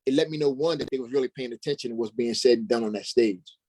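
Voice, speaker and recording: A man's voice: medium pitch, fast talker, darkish tone, dry recording. A man speaking as an interview guest and stage actor, telling his experiences in a casual, conversational way.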